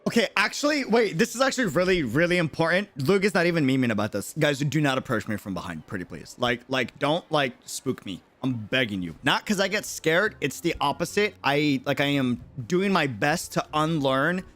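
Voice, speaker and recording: A man from New York City with an American accent, chatting casually on a game livestream in a rambling, slangy, animated way. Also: a little high, a little fast, hardly any pauses. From New York City, United States. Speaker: game streamer. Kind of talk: casual livestream chat and reactions.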